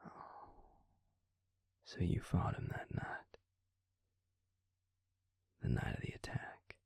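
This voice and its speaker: Male actor as gentle vampire confidant, Southern US accent, low slow half-whisper, husky, intimate roleplay, thoughtful, concerned. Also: no echo, close to an everyday voice. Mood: concerned, probing. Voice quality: breathy, husky, gravelly low voice. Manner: hushed, halting, thoughtful, intimate delivery. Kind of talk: intimate audio roleplay addressed to the listener. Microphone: close mic, dry sound.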